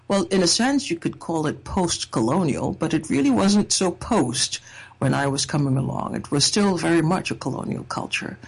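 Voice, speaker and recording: A woman from Trinidad giving a reflective personal talk in a measured, thoughtful way, with wry humor. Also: on the low side, vocal fry, breathy edge, rough recording. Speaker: speaker sharing a personal essay.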